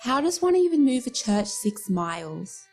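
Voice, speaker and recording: Casting: actress as vain, prim 1910s countrywoman, fairly high voice, opinionated, period radio drama with faint music. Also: sing-song, moderate pace, a little mellow. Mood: flippant. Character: Ellen, vain, outspoken country woman of 1913. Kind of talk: nostalgic radio play acting.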